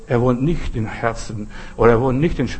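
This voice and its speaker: A man's voice: mid-range voice, moderate pace, a little mellow, slightly rough. A man preaching freely in a folksy, exhorting manner, speaking straight to the listener in short, repeated appeals. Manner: exhorting, repetitive, folksy, often addressing the listener directly. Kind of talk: sermon, spoken freely with short imperatives and anecdotes.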